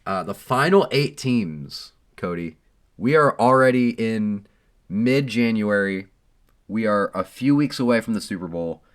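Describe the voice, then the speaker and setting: Man, hoarse, low voice, casual sports podcast host, playful boasting. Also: expressive, a little slow. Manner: playful, boastful teasing with frequent ums. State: hoarse from being sick.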